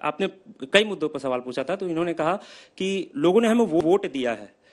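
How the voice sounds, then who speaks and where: Audience questioner: young adult man, high pitch, structured debate question. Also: lively intonation, brisk. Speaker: audience member asking a question.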